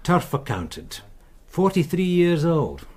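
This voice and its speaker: An actor playing a knowing police sergeant in a radio crime drama, with a wry, measured and respectful manner. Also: male voice, a little high, very animated, a little mellow, exaggerated voice. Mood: matter-of-fact briefing. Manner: wry, measured, deferential to his superior.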